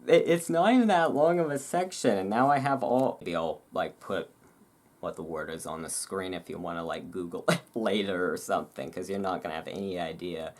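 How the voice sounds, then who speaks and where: A person reading aloud in a relaxed way with casual, rambling asides, halting and self-deprecating about it. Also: deep voice. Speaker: reader and host. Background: thunderstorm ambience.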